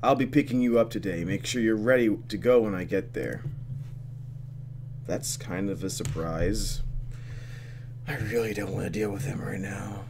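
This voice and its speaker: A man hosting a gaming video, reading game text aloud and commenting with expressive, dramatic delivery. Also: fairly low pitch, normal speed, vocal fry, pauses often, noisy background. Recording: game music behind. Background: game music.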